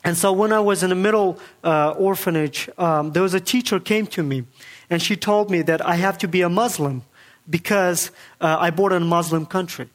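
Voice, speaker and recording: Earnest man. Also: high voice. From Kazakhstan. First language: Kazakh. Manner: earnest, halting, with many fillers and restarts. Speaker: guest speaker giving testimony. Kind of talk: church testimony.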